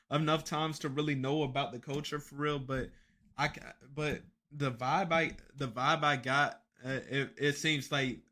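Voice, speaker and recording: A young man with a bright, forceful voice talks through his reaction to a video. He rambles, trips over and repeats his words, and drops casual swears. It sounds like a laid-back stream commentary. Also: medium pitch.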